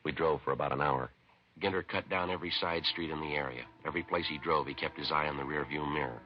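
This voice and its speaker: A man acting and narrating as a terse, hard-boiled American police detective in a 1950s radio drama, clipped, deadpan and matter-of-fact. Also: rumbling low, fast talker, a little hiss or hum. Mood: flat, matter-of-fact. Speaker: lead actor and narrator. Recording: muffled vintage 1950s radio recording.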